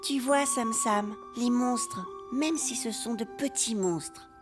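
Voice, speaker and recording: A woman's voice: a little high, slow, over loud music. A woman voicing a wary, knowing teddy bear companion in a cartoon, with an admonishing manner. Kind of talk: cartoon voice acting.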